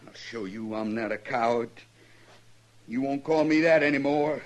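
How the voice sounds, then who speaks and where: An actor playing a cowardly, defensive troublemaker from a frontier town in a 1950s radio western. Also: male voice, medium pitch, moderate pace, lightly acted. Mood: desperate, threatening.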